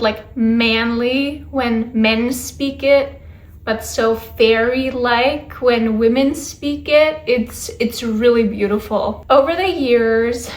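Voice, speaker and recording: Chatty young woman in an echoey room. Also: a little high. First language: not English. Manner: chatty, reflective, with little laughs. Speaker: vlogger. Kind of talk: casual talking-to-camera Q&A.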